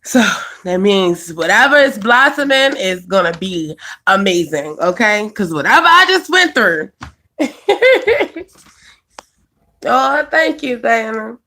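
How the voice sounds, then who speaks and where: A woman's voice: fairly high pitch, sing-song, slow, pauses often, pushed and bright. A woman, chatting casually on a live stream, answering viewers by name and laughing often. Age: 20s. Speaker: vlogger reading live chat.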